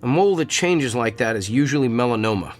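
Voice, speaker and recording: An actor voices a cold, clinical doctor in a radio play, snapping out one short question after another. He talks quickly and never softens. Faint music plays in the background. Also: mid-range voice, expressive, lightly acted. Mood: curt. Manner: clipped, rapid-fire questioning.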